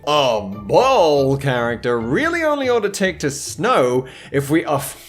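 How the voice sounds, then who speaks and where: Theatrical, sardonic man narrating, swinging pitch. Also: big pitch swings, a little slow. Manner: theatrical, sardonic, flowery phrasing with rhetorical questions. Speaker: video essayist, narrator. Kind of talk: scripted humorous critical commentary on video games. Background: background music.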